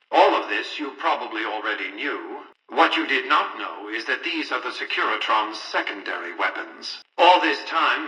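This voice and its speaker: An actor playing an imperious, calculating elderly tycoon in a video game, speaking formally and condescendingly through a radio-like speaker. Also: male voice, mid-range voice, pushed and bright, slightly rough, distant mic, exaggerated voice, poor audio. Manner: clipped, condescending, formal and articulate. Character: imperious, calculating elderly male tycoon ruling a casino city. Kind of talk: video game character dialogue.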